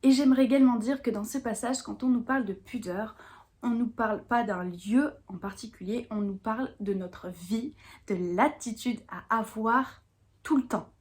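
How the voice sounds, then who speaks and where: A woman's voice: a little high, moderate pace, darkish tone. French woman explaining faith matters earnestly. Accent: French from France. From France. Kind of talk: explanatory faith talk to viewers.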